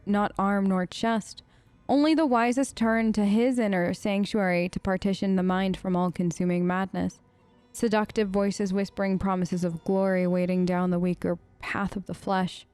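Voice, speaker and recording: A woman at middle pitch reads fantasy game lore aloud, then stops to wonder aloud with puzzled questions. The sound is dry and close, with no room around her. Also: darkish tone, clean tone, no room sound. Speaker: let's play host. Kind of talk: gameplay commentary and reading in-game text aloud.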